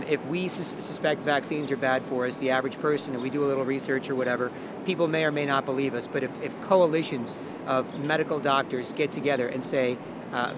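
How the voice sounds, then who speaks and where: A man's voice: medium pitch, noisy background. A man who talks like an informal street activist, explaining his views in plain, insistent terms. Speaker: activist speaker and interviewee. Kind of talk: impassioned street speech and informal interview.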